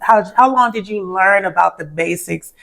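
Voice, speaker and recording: A woman's voice: medium pitch, moderate pace, vocal fry, fairly bright tone. Host, woman, supportive interviewer, mid-range voice. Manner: encouraging, lots of "mm-hmm" and "nice". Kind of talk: casual interview.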